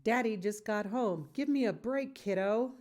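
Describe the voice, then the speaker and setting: A woman's voice: a little high, unhurried, a little mellow, pitched above the performer's own voice, natural voice. Woman reader as a playful dad, easygoing, teasing, affectionate, encouraging, storybook character.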